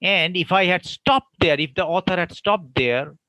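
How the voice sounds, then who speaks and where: A man giving an online academic lecture, explaining with deliberate pauses between phrases. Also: high voice, sharp tone, no room sound, low-quality recording.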